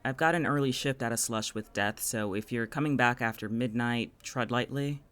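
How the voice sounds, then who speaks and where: A man's voice: mid-range voice, moderate pace, a bit bright, clear, resonant tone, close to an everyday voice. An actor playing a sardonic, stubborn young man in an audio drama, speaking in a dry, wryly sarcastic way with a wounded undertone. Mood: teasing, deadpan. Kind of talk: naturalistic audio drama acting.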